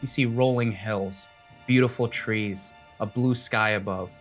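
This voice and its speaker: A man's voice: fairly low pitch, steady tone, measured pace, soft background music. A man working as game master, narrating a tabletop fantasy game in vivid, descriptive second-person storytelling.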